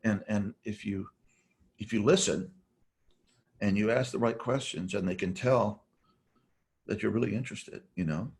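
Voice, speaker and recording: A man's voice: lowish voice, normal speed, long pauses, darkish tone, slightly rough. A middle-aged man, a podcast guest, talking reflectively and rambling, with many restarts and "you know"s. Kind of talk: reflective conversational talk.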